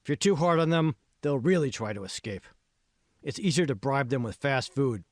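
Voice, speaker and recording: A man's voice: mid-range voice, animated, moderate pace, dry recording, clean studio sound, lightly acted. A man voicing a bossy, irritable foreman in an audiobook, coarse and impatient, haranguing his crew. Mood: bossy, persuading. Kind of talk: audiobook character dialogue.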